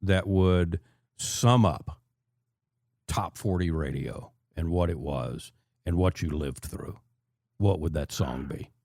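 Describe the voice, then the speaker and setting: An American man hosting a conversational radio interview in a deliberate, emphatic way, repeating phrases for effect. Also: deep voice, a little mellow, dry recording, studio quality. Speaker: radio show host.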